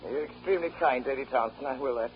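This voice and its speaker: An actor playing an obsequious, hesitant and wordy Irish rent agent with an Irish accent in a 1930s radio drama. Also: male voice, mid-range voice, fast, lightly acted. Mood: deferential, gloomy. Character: deferential, rambling Irish rent agent, anxious before his betters. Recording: muffled, hissy 1930s radio broadcast.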